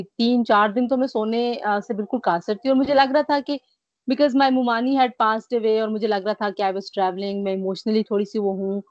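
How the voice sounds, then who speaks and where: Tired woman, slightly breathless with a light cough, earnest guest; higher voice, dry lo-fi video call sound. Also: dry recording. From Pakistan. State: mild cough. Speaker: guest recovering from covid. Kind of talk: remote interview. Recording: dry, dull lo-fi call audio.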